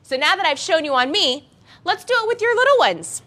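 Brief: young woman, baby gym teacher, high bright voice, sing-song and coaxing, bells jingling behind. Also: sing-song, brisk. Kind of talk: instructional class for parents and babies.

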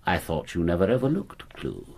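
A husky, pompous stage actor. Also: male voice, low-pitched, wildly swooping pitch, fairly quick, a little mellow, heavily acted. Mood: mock-courteous. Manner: pompous, theatrical, mock-courteous. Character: grandiose, bitter male stage actor. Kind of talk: radio drama acting.

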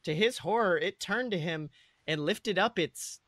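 A man's voice: high-pitched, measured pace, a bit bright, no room sound. A man reading comic fan fiction aloud as a podcast host, narrating in an amused way. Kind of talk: comedic story narration.

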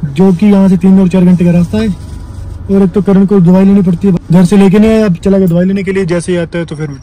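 A young man making a casual travel vlog from inside a moving car, chatting excitedly to his viewers. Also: high-pitched, fast, a little hiss or hum, low-quality recording. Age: young adult. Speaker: travel vlogger. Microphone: phone camera held in car. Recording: music mixed behind the voice. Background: background music.

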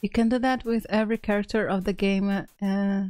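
A woman's voice: medium pitch, steady tone, brisk, a little mellow, dry recording. A woman hosting a casual live game stream, playful and exclamatory, chatting with viewers and reading text aloud. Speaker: streamer, video game host. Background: faint video game music.

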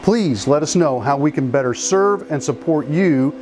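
An American man in his fifties giving a scripted corporate presentation as a company spokesman, in a measured, earnest way. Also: on the high side, lively intonation, a little mellow, slight background noise. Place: small indoor equipment room. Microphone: lapel mic. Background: background music.

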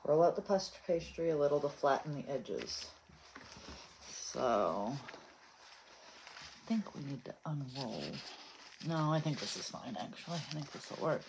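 A woman's voice: deep voice, expressive, measured pace, pauses often, darkish tone, slight background noise, low-quality recording. A woman in a home kitchen giving practical, direct cooking instructions and reading recipe steps aloud. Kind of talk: casual instructions.

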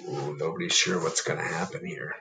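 A man's voice: extremely low pitch, fairly even intonation, brisk, vocal fry, bright, forceful tone, a little hiss or hum. A man in his fifties doing a picture-book read-aloud, with casual asides and questions to the children listening. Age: 50s. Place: book-lined room at home.

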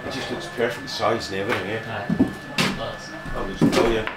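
A man's voice: on the low side, fairly even intonation, normal speed, heavy background noise. Tradesman calling out from across a room. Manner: enthusiastic, blunt and swearing. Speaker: joiner on site. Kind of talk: casual chat on the job. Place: inside an empty stone attic room. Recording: roomy and distant, loud music over it.

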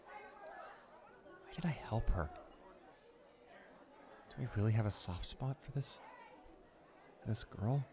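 A cocky young male school bully played by a man in an audio roleplay. His delivery is brash and halting, with a hidden softness under the swagger. His mid-pitched voice swings widely up and down. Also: huge pitch swings, crowd noise, background noise, full character voice. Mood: flustered, smitten. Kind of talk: dramatic audio roleplay, monologue.

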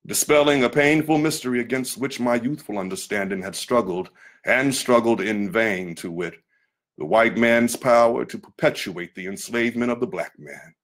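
A man giving a dramatic reading of a nineteenth-century memoir, with measured, oratorical phrasing. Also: mid-range voice. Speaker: reader of memoir excerpts.

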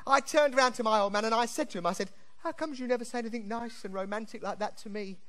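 High-pitched, brassy pantomime dame. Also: male voice, fast talker, a bit bright, heavy reverb. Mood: comic grumbling. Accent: English. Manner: exaggerated, theatrical comic delivery. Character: cheeky, brassy, poor widowed pantomime dame. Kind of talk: British pantomime stand-up patter to an audience. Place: theatre stage, recorded from the audience. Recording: distant noisy stage recording with audience.

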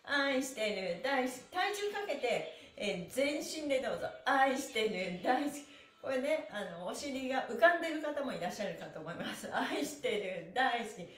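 A middle-aged woman leading a stretching class, cheerful and encouraging, with energetic sing-song calls and rhythmic chants. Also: high-pitched, normal speed. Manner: energetic, moving while talking. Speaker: stretching instructor and pantomime performer. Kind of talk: live exercise class with rhythmic counted chants. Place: small indoor room, seated on a mat. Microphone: camera a few meters away. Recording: roomy echo, distant microphone.

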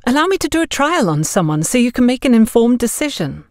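A woman's voice: fairly high pitch, very animated, fast, no echo, clean studio sound, pitched above the performer's own voice. A woman voicing an earnest, polite young female job seeker in an audiobook, respectful and eager. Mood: hopeful, pleading.

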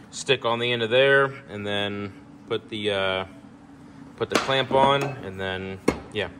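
A young man casually walking through his work trailer, talking in a relaxed, rambling way with lots of ums. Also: lowish voice, heavy background noise. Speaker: pressure washing business owner. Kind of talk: casual walkthrough tour. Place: indoor metal trailer, shop garage. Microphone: handheld phone camera.

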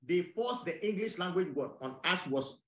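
Emphatic high-voiced man from Ghana. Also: lively intonation, moderate pace, echoey room. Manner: emphatic, insistent, repeats phrases. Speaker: guest, farmer. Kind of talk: conversational podcast interview.

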